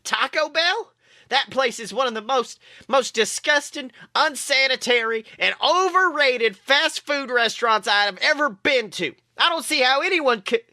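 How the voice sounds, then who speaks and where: A man's voice: very high-pitched, lively intonation, moderate pace, bright, forceful tone, no room sound, pitched above the performer's own voice, heavily acted. A man voicing an evil nine-year-old boy psychic, petulant, vengeful and theatrically indignant, in a fan fiction reading. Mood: petulant disgust.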